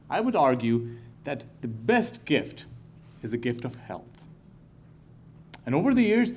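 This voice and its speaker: A man from Pakistan, a professor, giving a public talk in a lecture hall with earnest, slow and emphatic phrasing. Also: on the high side, darkish tone, slight background noise. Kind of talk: public talk to a live audience.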